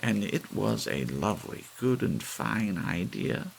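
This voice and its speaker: A man voicing a resolute, wistful elderly woman in an audiobook story, with a gentle, measured delivery. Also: on the low side, big pitch swings, gravelly, pitched above the performer's own voice, exaggerated voice, low-quality recording. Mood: wistful, accepting. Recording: faint hiss under the voice.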